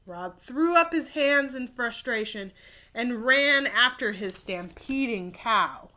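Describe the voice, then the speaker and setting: A woman reading a children's story aloud expressively and deliberately, like a teacher addressing young listeners. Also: fairly high pitch, lively intonation, sharp tone.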